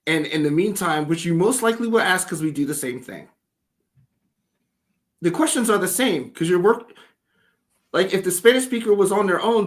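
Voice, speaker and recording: A man hosting a casual livestream, talking loosely and animatedly as he breaks down video footage, with the odd curse. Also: high voice. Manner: animated, loose storytelling with profanity.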